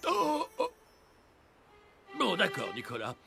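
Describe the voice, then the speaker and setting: A man's voice: high voice, wildly swooping pitch, slow, vocal fry, full character voice. A man voicing a kindly old Father Christmas in a cartoon, hearty and grandfatherly. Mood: conspiratorial. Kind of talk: animated cartoon dubbing. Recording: music behind the voice.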